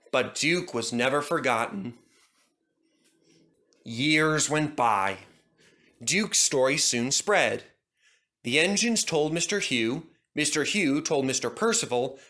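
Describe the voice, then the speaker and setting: Bright, animated male storybook narrator. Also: medium pitch. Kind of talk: scripted children's storybook narration.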